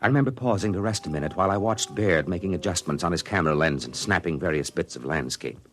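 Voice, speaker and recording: Brief: low, bright-toned astronaut narrator, earnest and halting, 1950s radio play. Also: male voice, soft background music. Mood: wistful. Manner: earnest, reflective, halting with small stammers. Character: thoughtful male astronaut narrating his moon landing. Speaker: narrator and crewman. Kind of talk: 1950s radio drama acting.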